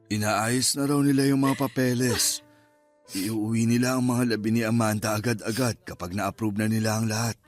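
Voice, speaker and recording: An actor playing a steady elderly grandfather with a measured, consoling way of talking, in a Filipino-accented Tagalog radio drama. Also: lowish voice, faint music behind, natural voice. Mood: somber.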